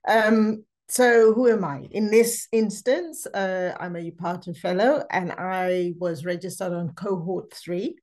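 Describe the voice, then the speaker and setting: Low-voiced woman in her fifties. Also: a little mellow. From Zambia. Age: 50s. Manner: earnest, with frequent fillers. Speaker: conference speaker. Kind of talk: presentation over slides.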